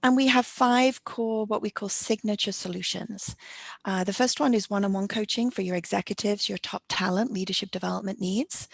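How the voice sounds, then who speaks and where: A woman's voice: mid-range voice, gravelly, no echo. A middle-aged woman hosting a webinar, explaining things in a businesslike, presentational way. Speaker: webinar host and coaching company founder. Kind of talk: webinar presentation, interview format.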